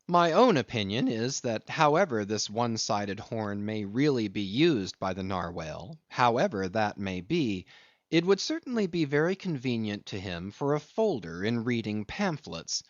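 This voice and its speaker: A man reading a literary audiobook in a measured, expressive way with deliberate phrasing. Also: medium pitch, no echo, lo-fi sound. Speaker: audiobook narrator.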